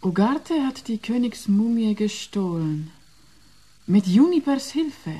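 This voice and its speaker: A woman's voice: mid-range voice, big pitch swings, slow, warm tone, breathy edge, heavily acted. A woman playing a cool, determined agent in a German radio play, composed, businesslike and assertive.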